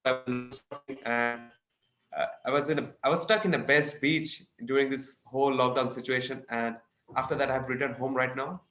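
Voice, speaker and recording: A young man in his twenties from eastern India speaks English with an Indian accent; his first language is Bengali. He chats as an eager guest at a middle pitch. His voice comes over a remote call and sounds roomy. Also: steady tone, normal speed, a bit bright, faint music behind. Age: 20s. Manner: chatty, enthusiastic storytelling. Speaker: podcast guest. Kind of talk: casual interview chat.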